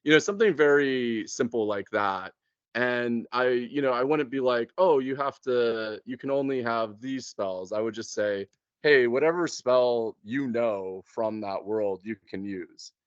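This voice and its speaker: A man with a mid-pitched voice talks through tabletop game master plans in a loose, conversational way. He feels his way through explanations, often hesitating. The audio is close and dry, with a dull, lo-fi muffle. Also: a little slow, smooth, no room sound. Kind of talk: casual chat.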